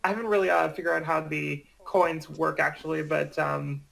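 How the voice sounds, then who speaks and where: Halting, very creaky-voiced man. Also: fairly high pitch, level tone, a bit bright, poor audio. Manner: hesitant, halting, repeats phrases. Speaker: project organiser. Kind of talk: casual group chat.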